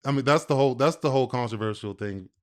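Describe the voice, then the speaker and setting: A man's voice: medium pitch, fast. An American man co-hosting a casual podcast, agreeing along in slangy, profane language. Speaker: podcast co-host.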